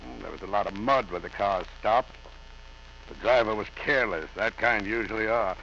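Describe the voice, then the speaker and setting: An actor playing a genial but probing police captain in an old radio drama, brisk and plain-spoken. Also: male voice, lowish voice, vocal fry, bright, forceful tone. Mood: businesslike. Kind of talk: 1940s radio drama acting. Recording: dull vintage radio, faint hum.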